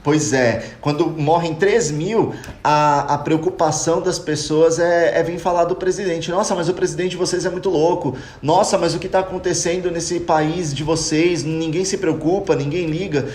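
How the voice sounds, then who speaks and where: A man's voice: on the high side, steady tone, normal speed, hardly any pauses, a little mellow. A young Brazilian man living abroad, talking earnestly and reflectively in a casual podcast chat. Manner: earnest, reflective, addresses listeners as "cara". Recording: echoey room with faint noise.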